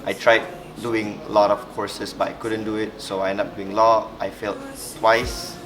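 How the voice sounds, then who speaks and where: Low, flat-voiced young man. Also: moderate pace, noisy background. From Sarawak, Malaysia. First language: Iban. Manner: thoughtful, hesitant, with frequent restarts. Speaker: interviewee. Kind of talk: reflective personal interview. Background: background music.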